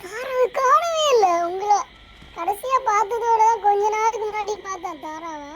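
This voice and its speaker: A woman's voice: extremely high pitch, expressive, moderate pace. A woman chatting casually with other players in a role-play game. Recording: slightly noisy game voice chat.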